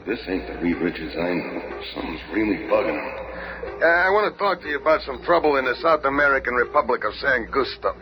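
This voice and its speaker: An actor playing a gruff, swaggering, slangy army colonel turned spy in a 1970s radio drama. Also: male voice, mid-range voice, sing-song, fairly quick, lightly acted. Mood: brusque. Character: Nick Fury, tough wisecracking army colonel turned spy. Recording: noisy old radio show recording.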